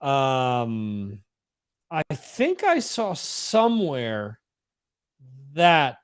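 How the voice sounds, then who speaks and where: A man co-hosting a casual chat, answering slowly and hesitantly. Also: medium pitch, wildly swooping pitch, smooth. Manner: slow, hesitant, measured.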